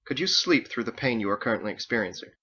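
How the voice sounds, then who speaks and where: A man voicing a stern, coldly logical female executioner in a formal, measured, clipped way for a story. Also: medium pitch, lively intonation, bright, forceful tone, breathy edge, highs cut off. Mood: cold, composed.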